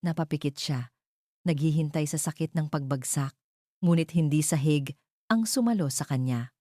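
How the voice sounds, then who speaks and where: Low, mellow woman storyteller. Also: moderate pace, no room sound, clean studio sound. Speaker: story narrator. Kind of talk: dramatic scripted storytelling narration.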